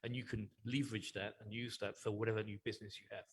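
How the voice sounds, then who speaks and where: A man's voice: lowish voice, little pitch movement, fast, slight background noise. A man on a forum panel explaining a point in a plain, step-by-step way. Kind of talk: panel discussion.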